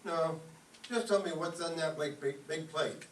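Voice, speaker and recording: A man's voice: on the high side, unhurried, natural voice. A man playing a sleepy, sarcastic, grumbling husband in an old-time radio comedy staged live. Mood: cranky, sarcastic. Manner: grumbling, indignant. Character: sleepy, cranky, sarcastic penny-pinching husband. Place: live stage performance before an audience. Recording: roomy, distant mic, faint noise.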